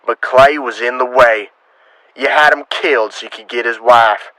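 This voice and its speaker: A man plays a vengeful frontier hothead in a western radio drama. His American voice is forceful and hard-edged, middle in pitch and close and dry, full of accusation and menace. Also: moderate pace, no room sound, lightly acted, poor audio. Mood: threatening. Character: vengeful, hot-headed Old West townsman.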